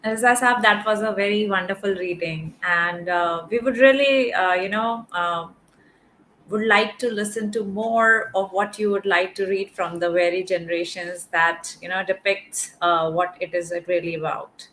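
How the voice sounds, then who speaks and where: A woman's voice: mid-range voice, fairly even intonation, normal speed, a bit bright, slight background noise, poor audio. A woman moderating an online book discussion, speaking politely and hesitantly with many fillers. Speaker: event moderator.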